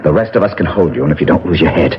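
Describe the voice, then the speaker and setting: An actor playing a steady, commanding leader of a frightened climbing party in an old-time radio drama, giving firm instructions. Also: male voice, very deep voice, very fast. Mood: calm, instructing. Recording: dull, noisy old radio broadcast.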